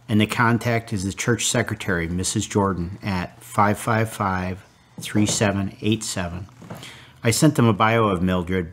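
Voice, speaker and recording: A man's voice: on the low side, slight background noise, natural voice. Formal, courteous funeral home man, acted. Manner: formal, polite. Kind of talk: amateur radio drama acting.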